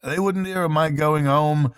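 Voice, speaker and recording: A worried water rat from a bedtime storybook, acted out by a man. The voice is lifted high and confides gravely and earnestly, like a kind friend sharing troubles. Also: steady tone, a little slow, close to an everyday voice. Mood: troubled. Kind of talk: bedtime storybook character reading.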